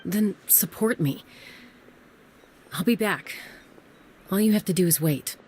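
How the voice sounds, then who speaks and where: A woman's voice: mid-range voice, slow, a little mellow, slight background noise, close to an everyday voice. A woman playing a proud, headstrong older sister and young fighter, defiant and curt, in a video game. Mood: exasperated. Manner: defiant, self-assured, curt.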